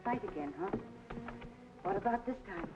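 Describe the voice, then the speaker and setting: A woman's voice: mid-range voice, animated, normal speed, close to an everyday voice. An actress playing a blunt, world-weary woman in old radio noir style. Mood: wry, advising. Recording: dull old radio recording with music.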